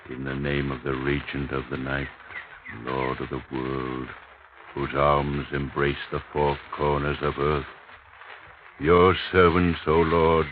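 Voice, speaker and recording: An actor playing a commanding Indian priest in an old radio drama, solemn and incantatory in delivery. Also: male voice, a bass voice. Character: commanding, mystical Indian priest hypnotizing a boy. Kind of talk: 1950s radio drama acting. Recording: noisy, tinny old radio recording.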